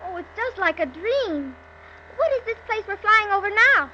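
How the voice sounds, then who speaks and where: A woman playing an excitable, polite, wonder-struck little girl in a 1930s radio play. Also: very high-pitched, normal speed, full character voice. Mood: delighted. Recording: muffled noisy 1930s radio recording.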